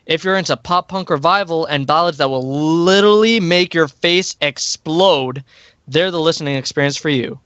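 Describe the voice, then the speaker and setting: A man's voice: fairly high pitch, normal speed, a bit bright, clear, resonant tone, no echo, muffled recording, natural voice. A man playing a breezy, confident student music promoter in an amateur radio play. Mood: breezy, assured.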